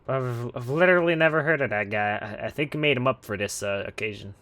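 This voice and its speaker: A man playing an awkward, easily underwhelmed police chief in a cartoonish comedy audio play, speaking in a halting, hesitant way. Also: medium pitch, a bit bright, lightly acted. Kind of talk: comedic audio drama acting.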